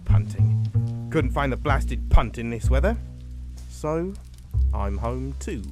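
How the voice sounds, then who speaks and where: An actor playing an idle, sullen young English son in a radio drama, flippant and grumbling. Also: male voice, medium pitch, lively intonation, slow pace, over music. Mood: bored, grumbling. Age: young adult. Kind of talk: radio suspense acting.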